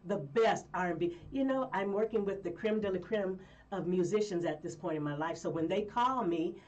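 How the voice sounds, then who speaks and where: A woman from Colorado, a guest singer telling stories in a rambling, lively way with frequent laughs. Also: medium pitch, moderate pace, far from the mic, dull audio. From Colorado, USA. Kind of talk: casual interview chat.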